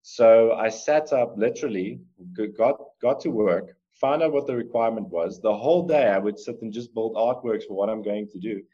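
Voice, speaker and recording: Afrikaans-accented guest, 30s, low voice, earnest and rambling, dull video call line. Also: male voice, normal speed, hardly any pauses, mellow. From South Africa. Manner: rambling, earnest, with many fillers and restarts. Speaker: guest artist and coder. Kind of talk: casual interview answers. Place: home room on a video call. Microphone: laptop or webcam mic on video call.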